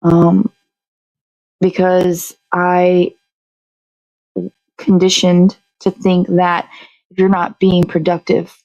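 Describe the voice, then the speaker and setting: A woman's voice: on the low side, monotone, drawn-out words, long pauses, darkish tone, dry recording, highs cut off. A woman hosting a morning show in casual chat, talking in a blunt, sweary, rambling way.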